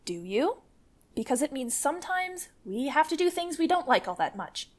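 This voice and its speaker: A woman acting the fierce, defiant leader of an armed commune in a radio play, forceful and foul-mouthed. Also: high-pitched, very animated, normal speed. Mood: grim, insistent.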